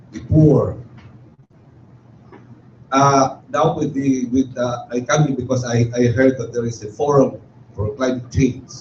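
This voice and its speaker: A man speaks slowly from the audience at a forum, stopping and restarting as he searches for words. The recording is roomy and a little muffled, with a faint hiss underneath. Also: medium pitch, a little mellow. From Philippines. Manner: hesitant, many restarts and fillers. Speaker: forum audience member asking a question. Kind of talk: halting spoken question at a public forum. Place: meeting hall. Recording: roomy, dull hall recording with faint noise.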